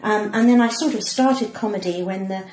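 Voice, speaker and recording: A woman's voice: medium pitch, normal speed, a little mellow. A woman in her sixties with a cut-glass English accent, chatting playfully and theatrically as a comedian guest. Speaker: podcast guest, comedian and actor. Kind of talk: casual interview chat. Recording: roomy echo, noticeable background noise.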